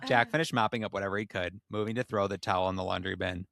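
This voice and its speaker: Low-pitched man hosting a comic story reading. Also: fairly even intonation, very fast, creaky, a bit bright, dry recording, clean studio sound. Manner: playful, dramatic reading broken by asides and laughter. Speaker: podcast host reading fan fiction aloud.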